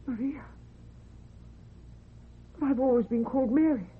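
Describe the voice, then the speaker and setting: Entranced, timid young woman: fairly high voice, slow and hesitant, radio drama, muffled lo-fi recording. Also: slightly rough, exaggerated voice. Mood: hushed amazement. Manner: hesitant, dreamy, earnest. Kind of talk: old-time radio drama acting. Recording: dull lo-fi old radio recording, hiss.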